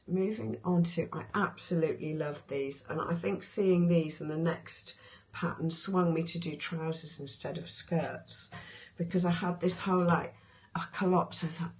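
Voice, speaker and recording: A woman with a hoarse voice, chatting casually about her favourite sewing patterns, with many ums and restarts. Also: on the low side. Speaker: sewing vlogger.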